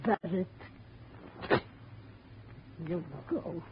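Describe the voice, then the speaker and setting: A woman's voice: lowish voice, extremely slow. An actress playing a hostile immigrant mother who speaks broken English in short, blunt sentences, in a 1950 radio drama. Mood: defiant. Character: hostile immigrant mother, guarding her husband. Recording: thin phone-like 1950 radio broadcast, faint hiss.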